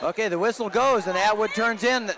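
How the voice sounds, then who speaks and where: A man's voice: high-pitched, fairly quick. A man giving live play-by-play sports commentary on a wrestling match for radio. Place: indoor arena at a college wrestling tournament. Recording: very loud noisy arena crowd. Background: loud crowd and arena noise.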